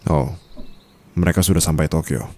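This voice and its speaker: A man's voice: gravelly, darkish tone. A man playing a calculating, coolly dominant mafia boss husband in an intimate ASMR roleplay, speaking in a teasing, smug manner. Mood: smug, assured. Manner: slow, teasing, smug, with low chuckles and hums.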